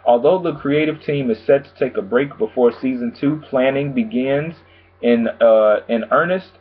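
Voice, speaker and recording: A man vlogging about TV news in a casual, chatty way, calm and low-key, with lots of filler phrases. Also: moderate pace, slight background noise. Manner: chatty, frequent "pretty much" and "you know what I'm saying". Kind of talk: casual chat to camera. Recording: tinny phone-like sound.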